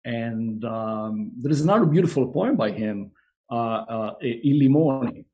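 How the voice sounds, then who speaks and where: A man's voice: mid-range voice, expressive, moderate pace, warm tone, lo-fi sound. A man speaking as a guest on a video call interview, hesitant with many fillers. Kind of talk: conversational interview.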